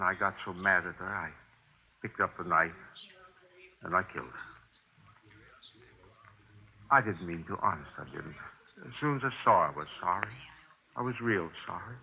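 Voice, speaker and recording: A man's voice: sits low, moderate pace, long pauses, bright, forceful tone, exaggerated voice. A man acting a nervous, self-justifying landlord who confesses to killing, pleading and stammering in 1950s radio drama. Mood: remorseful, shaken. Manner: agitated, pleading, repetitive, stammering. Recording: dull, noisy 1950s radio recording.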